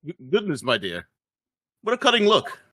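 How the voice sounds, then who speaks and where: A man's voice: sits high, huge pitch swings, moderate pace, sharp tone, exaggerated voice. A man voicing a stammering, flustered professor. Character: flustered middle-aged father and professor. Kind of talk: fan dub of a game.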